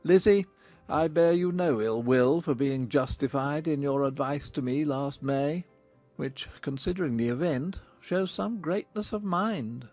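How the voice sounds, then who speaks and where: Man playing a dry, slow-spoken father. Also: on the high side, a little mellow, soft background music. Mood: dry, sardonic. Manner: dry, deliberate, sardonic. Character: dry, self-reproaching older English gentleman father. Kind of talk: dramatized audiobook acting.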